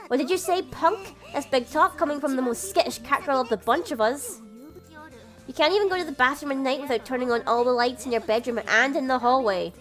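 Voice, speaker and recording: A high, huffy cat girl voiced by a woman, proud and domineering but easily flustered. She speaks dramatically, like a game character, over faint music. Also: a little fast, fairly bright tone. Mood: scornful.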